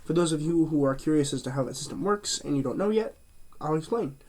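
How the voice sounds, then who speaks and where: A man's voice: on the high side, quick pace, darkish tone. Stuffy-nosed man chatting through a review. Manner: chatty and rambling, with many fillers and restarts. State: stuffy, a bit nasal from a cold. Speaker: movie reviewer, channel host. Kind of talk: casual review vlog.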